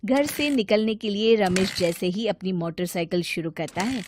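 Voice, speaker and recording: A woman reading scripted narration for an animated moral story. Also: mid-range voice, moderate pace, a little hiss or hum.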